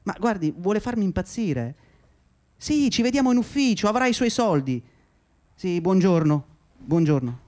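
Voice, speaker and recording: A man playing an irritable, suspicious commuter in a radio play, flustered, impatient and quick to interrupt. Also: high voice, big pitch swings, a little mellow. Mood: flustered, exasperated. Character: irritable, suspicious man waiting at a bus stop. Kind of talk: Italian radio play dialogue. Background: music.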